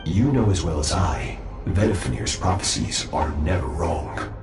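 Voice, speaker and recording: A man playing an aloof, powerful master from a fantasy game speaks formally in a very low voice. His delivery is measured and sure of itself. It rings with echo, with music clearly behind. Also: close to an everyday voice. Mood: calm, lofty. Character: powerful, self-assured, aloof master swordsman, adult man. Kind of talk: dramatic video game voice acting.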